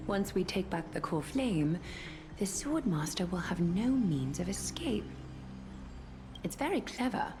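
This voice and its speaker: Regal city leader, composed, stern, measured, lower pitch, anime game, music behind. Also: female voice. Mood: approving. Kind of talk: anime fantasy game voice acting.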